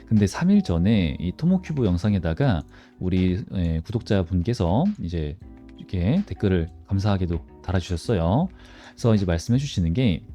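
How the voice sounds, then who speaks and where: A man with a low, soft-edged, mellow voice talks viewers through the stock market. His intonation moves up and down in a lively way as he poses questions and answers them. Faint music plays behind him. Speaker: stock analysis channel host. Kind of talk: informal market commentary to viewers.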